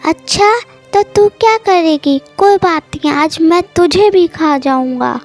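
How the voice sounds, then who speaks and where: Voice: very high-pitched, measured pace, faint music behind, pitched above the performer's own voice. A young storyteller voicing a sly, menacing male wolf villain in a children's animal story. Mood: taunting, menacing.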